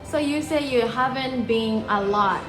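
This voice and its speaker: A woman asks her guest questions in a bright, friendly way and laughs easily. Her voice sits a little high. The room is echoey, with music playing behind her. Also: unhurried. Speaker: host. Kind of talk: casual interview.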